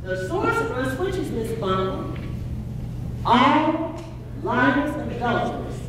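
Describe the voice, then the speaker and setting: A woman's voice: high-pitched, very animated, takes it slowly, background noise, heavily acted. A woman acting on a theater stage as a devout, stern mother who quotes scripture in an emphatic, preachy way. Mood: stern, pleading. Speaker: stage actor. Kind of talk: live gospel stage play acting. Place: theater stage with kitchen set. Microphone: far camera. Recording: echoey theater, far-off camera mic.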